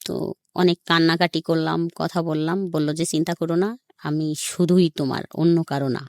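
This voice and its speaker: A woman's voice: deep voice, steady tone, normal speed, a little mellow, dry recording, studio quality. A woman with a Bangladeshi accent recounts her life story as an interview guest, speaking colloquially and acting out remembered dialogue. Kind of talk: personal storytelling in a radio interview.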